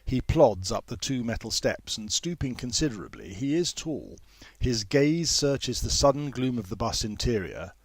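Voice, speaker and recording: A man narrating a literary story in a measured, formal manner with precise phrasing. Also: medium pitch, very animated, breathy edge, no room sound. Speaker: author reading own novel.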